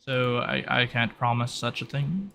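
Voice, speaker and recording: A man voicing a polite male shopkeeper in a tabletop roleplay, courteous and measured, with some hesitation. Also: mid-range voice, vocal fry, pushed and bright, a little hiss or hum. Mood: polite, helpful. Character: polite, well-presented male shopkeeper greeting customers.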